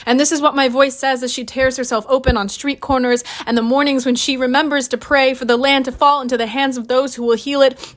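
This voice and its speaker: A young woman recites spoken word poetry. Her voice sits high, and she delivers the lines as a composed, written performance. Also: a bit bright. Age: young adult. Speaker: student spoken word poet.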